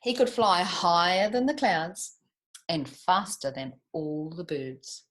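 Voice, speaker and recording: A woman reading picture books aloud to young children in an expressive way, with chatty asides and little tag questions. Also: on the low side, slow. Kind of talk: animated picture-book read-aloud with asides to young listeners.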